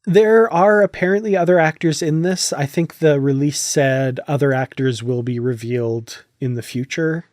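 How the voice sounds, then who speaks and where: A man's voice: on the high side, normal speed, hardly any pauses, darkish tone, no room sound, studio quality. A man hosting a casual podcast discussion, talking in an enthusiastic, conversational way. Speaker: podcast host.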